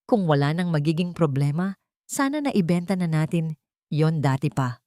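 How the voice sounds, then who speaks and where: A narrator woman plays a money-hungry daughter-in-law in an audio drama. She is urgent and demanding, pitched above the narration, with a dry, close sound. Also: expressive, normal speed, warm tone, dry recording. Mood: scornful, impatient.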